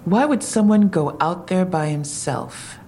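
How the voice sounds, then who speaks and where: An actress playing a wry, probing police detective in an audio drama, matter-of-fact and questioning. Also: female voice, fairly low pitch, animated, measured pace, darkish tone, a little hiss or hum.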